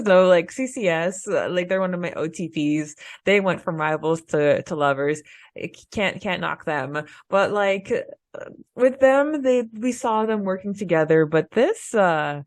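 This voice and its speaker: A woman co-hosting a podcast, chatting casually as a fan in an informal, halting way. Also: fairly low pitch, no echo, clean studio sound. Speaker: podcast co-host.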